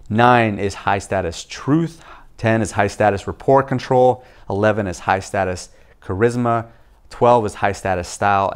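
A young man speaks in a low, deep register, talking straight to the camera in a home kitchen. His delivery is casual and explanatory, with easy pauses and frequent "okay?" check-ins. He sounds like a vlogger reviewing a book for his channel. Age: young adult. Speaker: video host reviewing a book. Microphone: camera facing him at chest-up framing.

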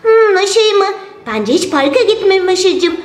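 Woman voicing a high, calm magical girl doll. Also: a little slow. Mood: knowing, reassuring. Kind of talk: toy play storytelling for children. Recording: roomy echo, music underneath.

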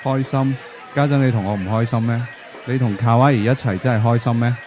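A man's voice: medium pitch, steady tone, fairly quick, lightly acted. A man playing a blunt, teasing young best friend in a radio drama. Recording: music and crowd chatter behind.

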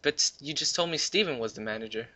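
A man's voice: mid-range voice, animated, fast talker, sharp tone. A man making a casual prank phone call, goading in a mock-friendly, repetitive way, on a recorded call. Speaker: prank caller. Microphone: recorded phone or internet call.

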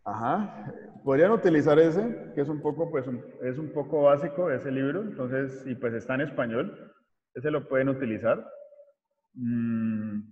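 A man, giving an informal online lecture as a mathematics teacher, haltingly searching for words. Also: medium pitch, a little mellow. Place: small indoor room, video call. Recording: muffled, echoey room over video call.

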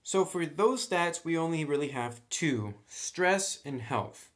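A man's voice: a little high, lively intonation, takes it slowly, faint music behind. A man hosting a tabletop game tutorial, explaining things step by step in a casual, conversational way. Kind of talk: casual step-by-step tutorial, partly reading rules aloud.

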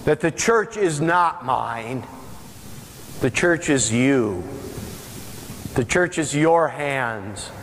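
Slow, earnest older male pastor preaching. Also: fairly high pitch. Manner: earnest, emphatic preaching with drawn-out pauses. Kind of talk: sermon. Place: large church sanctuary, preaching from the chancel. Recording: long church reverb, faint hiss.

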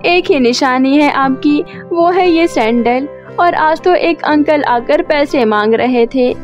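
A woman puts on a sorrowful young daughter from a cartoon tale. The voice is high, plaintive and childlike, with music playing behind it. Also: slow, highs cut off. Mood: sad, pleading. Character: sad young daughter grieving her father. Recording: music under the voice.

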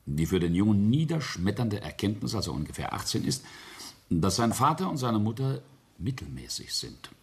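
Radio drama: cold, bitter self-made patriarch, deep rough husky voice, deliberate storytelling. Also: male voice, big pitch swings, heavily acted. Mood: cold, intense. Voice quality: husky, low. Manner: deliberate, pointed, pausing storyteller. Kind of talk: German radio drama acting.

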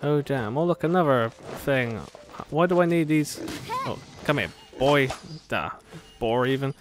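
Really low voiced woman gamer chatting sarcastically at the game, music going behind her. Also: lively intonation, unhurried. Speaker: gamer playing and commenting. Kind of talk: casual let's play commentary.

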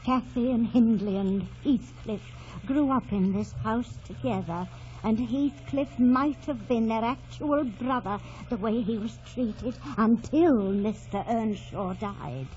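Slow-speaking, fretful old housekeeper. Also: female voice, a little high, a little mellow. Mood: worried, wistful. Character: older, soft-hearted, fretful housekeeper and former nurse. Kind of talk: 1940s radio acting. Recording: muffled old radio broadcast, faint noise.

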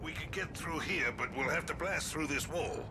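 An actor playing an eager, scrappy mechanic sidekick in a video game, explaining things with a slightly needling manner. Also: male voice, a little high, moderate pace, background noise, natural voice. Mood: instructing, teasing. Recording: loud mechanical ambience behind.

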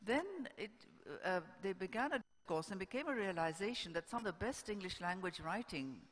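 An older woman moderating a panel on stage, reading prepared introductions in a halting, measured way. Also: mid-range voice, animated. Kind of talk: scripted introductions read to a festival audience. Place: on stage in a large auditorium. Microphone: stand microphone on stage. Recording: echoey hall, stage microphone.

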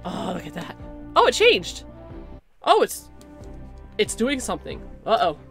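A young woman streaming a game, chatting casually and excitably with her viewers through a headset, her voice slightly hoarse. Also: medium pitch, a little slow, faint music behind. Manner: chatty, excitable, talks to chat. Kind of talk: casual live-stream chat.

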